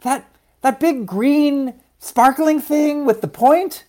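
A man's voice: extremely high pitch, slow pace, a little mellow, voice pushed up. A man voicing an eager, dreamy little girl seed in a children's story, pleading and full of questions. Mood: curious, pleading. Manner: pleading, wide-eyed, inquisitive.

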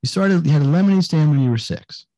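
A man's voice: on the high side, animated, fast talker, warm tone. An older man hosting a podcast interview in an effusive, conversational way, often repeating words for emphasis. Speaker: podcast host.

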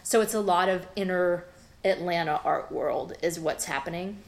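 Frank, chatty woman, lowish voice. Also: moderate pace, gravelly. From Southern United States (Memphis, South Georgia). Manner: chatty and frank, laughs at her own jokes. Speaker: guest, artist. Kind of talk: conversational interview.

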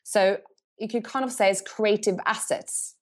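A middle-aged woman speaking English, answering interview questions thoughtfully and often pausing to rephrase. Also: medium pitch, steady tone. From Norway. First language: Norwegian. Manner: thoughtful, pauses to search for words and rephrases. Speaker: agency CEO, guest.

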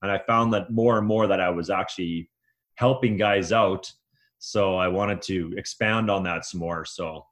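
A man's voice: sits low, steady tone, normal speed, a bit bright. A middle-aged man speaking as an interview guest, casual and colloquial, restarting his phrases as he goes. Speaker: guest, men's coach.

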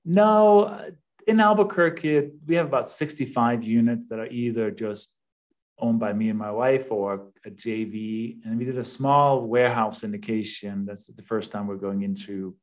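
A man's voice: medium pitch, normal speed. A man in his fifties from Denmark, with a Danish accent, talking informally as a guest on a podcast. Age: 50s. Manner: conversational, informal. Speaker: podcast guest, real estate investor. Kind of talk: casual interview chat.

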